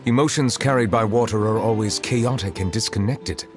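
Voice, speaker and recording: A low, formal male voice over game music, an actor playing an ancient dragon who serves as a solemn chief judge. His speech is measured and grave. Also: natural voice. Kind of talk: video game voice acting. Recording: game audio with music behind.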